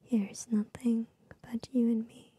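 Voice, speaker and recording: A young woman giving soothing ASMR affirmations in a soft, breathy whisper, gentle and repetitive, very close to the microphone. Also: on the high side, flat delivery, takes it slowly, no room sound. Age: young adult. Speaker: ASMR host. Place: small bedroom with gaming setup, indoors. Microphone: large microphone very close to the mouth.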